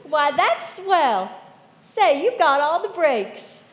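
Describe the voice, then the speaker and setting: A woman's voice: high voice, big pitch swings, slow pace, heavily acted. A woman playing a wide-eyed, gushing friend in an old-time radio commercial, with exaggerated gee-whiz earnestness. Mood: gee-whiz, eager. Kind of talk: 1940s radio advertisement acting. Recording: echoey hall, stage live, music behind.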